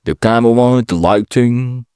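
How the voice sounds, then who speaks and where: A man's voice: mid-range voice, darkish tone, smooth, no echo, clean studio sound. A man in his late twenties from Indonesia, speaking English with an Indonesian accent, rambling and correcting himself as he hosts his own vlog podcast. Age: late 20s. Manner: halting, self-correcting, chatty. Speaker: podcast host. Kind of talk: rambling solo vlog talk. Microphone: camera mic.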